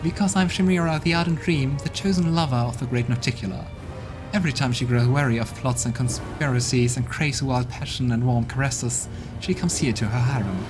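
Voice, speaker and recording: A man's voice: medium pitch, brisk, natural voice. A man voicing an arrogant, haughty female demon who talks with contempt, over game music.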